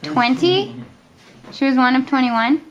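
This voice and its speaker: High-voiced woman, slow, slightly muffled. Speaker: listener prompting a family storyteller. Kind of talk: casual chat with short questions and reactions.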